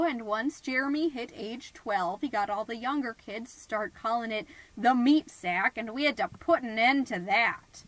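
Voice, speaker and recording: A fussy suburban mom played by a woman hosts her homemade podcast in a fairly high voice. She is perky and folksy on the surface, judgmental and passive-aggressive underneath, rambling like a middle-aged neighbor in a scripted comedy audio show. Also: fairly bright tone, rough recording. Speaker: podcast host.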